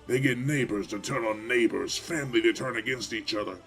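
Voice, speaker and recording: A man's voice: medium pitch, fairly quick, creaky, a bit bright. A man playing a huge, proud farmer-mayor in an audio drama, speaking bluntly and emphatically with defiant conviction. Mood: defiant, resolute. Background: faint background music.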